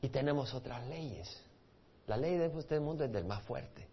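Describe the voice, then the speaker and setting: A man's voice: mid-range voice, expressive, unhurried, slight background noise. A man from El Salvador with a Salvadoran Spanish accent, a pastor teaching a Bible study in a conversational way and asking his listeners questions. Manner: conversational, asks the audience questions, restarts words. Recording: dull lo-fi recording.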